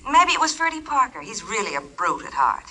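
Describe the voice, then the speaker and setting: A woman's voice: mid-range voice, very animated, fairly quick, bright, forceful tone, heavily acted. A woman acting a detective's poised, teasing, sarcastic girlfriend in an old radio drama. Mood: annoyed, arch. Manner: arch, playful sarcasm. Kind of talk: 1940s-50s American radio drama acting.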